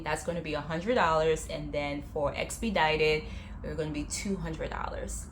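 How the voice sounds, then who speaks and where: Lower-pitched woman from Liberia, chatty vlogger. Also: slight background noise. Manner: chatty storytelling, acts out quotes with lively jumps. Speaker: travel vlogger. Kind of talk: casual explainer to viewers.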